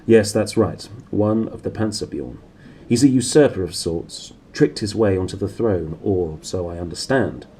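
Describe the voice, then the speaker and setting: A man's voice: low-pitched, normal speed, warm tone, a little hiss or hum, close to an everyday voice. A man voicing a worldly, assured professor in a storybook, emphatic and knowing. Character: opinionated male Arctic-travelled professor, worldly and assured.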